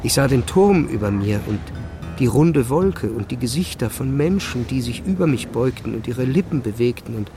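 Haunted young male physicist, played by an actor, introspective first-person audio-drama narration, gravelly fry, music behind. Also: mid-range voice, expressive, lightly acted. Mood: absorbed, brooding. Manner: introspective, halting, intense. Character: obsessive, haunted young male physicist telling his story.

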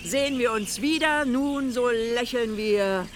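A woman's voice: fairly high pitch, unhurried, a little hiss or hum, natural voice. Actress playing an eccentric, stubborn old mother. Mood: tender farewell. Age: elderly. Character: eccentric, stubborn, frail elderly mother, whimsically determined. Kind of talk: German radio play acting.

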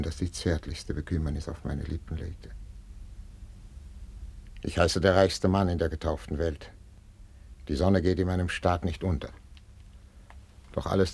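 A man's voice: low-pitched, creaky, darkish tone, slightly rough, exaggerated voice. An actor playing a stern, jealous, grey-haired king in a 1950s classical radio drama, declaiming with weight and command. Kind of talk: 1950s German stage-style radio acting of classical verse.

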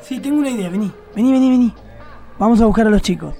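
Casting: man as mocking young Argentine male bully, radio theatre, very high voice, heavy echo. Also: fast, creaky, mellow. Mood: cocky, scheming. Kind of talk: radio drama acting. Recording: very echoey hall reverb, music behind.

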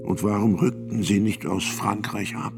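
Deep, gravelly old priest witness. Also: male voice, fairly even intonation, moderate pace, music in the background. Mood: grave recounting. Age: older. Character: sixty-year-old male priest-physician, fearful, solemn medieval trial witness. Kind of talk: radio play testimony, measured acting.

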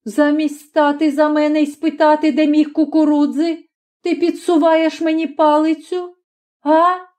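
A woman voicing a shrill scolding old peasant mother. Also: slow pace, hardly any pauses. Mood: screeching. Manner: scolding, shouting.

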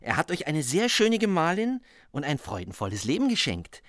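An actor playing an expressive, high-spirited minstrel storyteller in a German radio play, speaking theatrically. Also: male voice, normal speed, no echo, studio quality. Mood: admiring.

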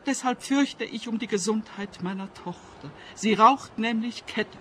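A woman's voice: a little high, unhurried, close to an everyday voice. An actress playing an older woman scientist in a radio play, anxious, obsessive and stubbornly defensive, speaking plaintively. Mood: anxious, earnest.

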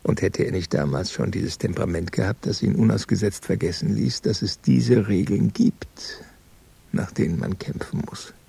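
An actor playing a wry, laconic first-person narrator in a hardboiled radio crime drama, gravelly and deadpan, with measured delivery. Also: male voice, sits low, exaggerated voice. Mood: deadpan, ironic. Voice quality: gravelly, husky.

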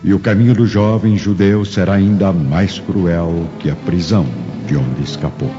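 A man's voice: low voice, fairly even intonation, normal speed. A man giving solemn, theatrical scripted narration for a drama. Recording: muffled audio with faint music.

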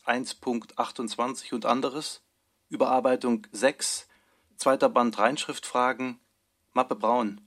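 A man's voice: mid-range voice, a bit bright. A man reading a scripted archive inventory in clipped, list-like phrases for a radio production.

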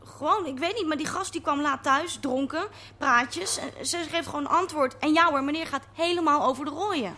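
A woman's voice: high voice, moderate pace, hardly any pauses, pushed and bright. An actress playing an indignant, gossipy woman working at a club in a radio play.

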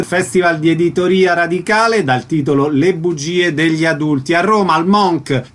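A man hosting a radio talk segment, asking short, brisk questions. His voice sits a little high. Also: fairly bright tone. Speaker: radio host. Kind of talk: radio interview. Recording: radio broadcast.

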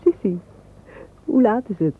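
Husky, fretful young girl. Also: mid-range voice, big pitch swings, a little slow, phone-quality sound. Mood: anxious, insistent. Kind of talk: radio drama dialogue. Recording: thin, band-limited old radio recording.

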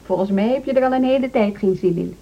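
A woman's voice: medium pitch, fast talker, lightly acted. An actress in a Dutch radio drama playing a practical, kind woman who teaches piano. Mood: patient, caring. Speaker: actor. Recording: muffled recording with clear background noise.

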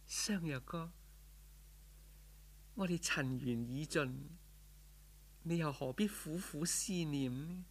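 Gentle female spirit, played by a man, Cantonese, solemn, formal, serene, husky, unhurried, folk-tale audiobook. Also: a little high, pitched above the performer's own voice. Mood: serene, grateful. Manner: solemn, formal, calmly instructive.

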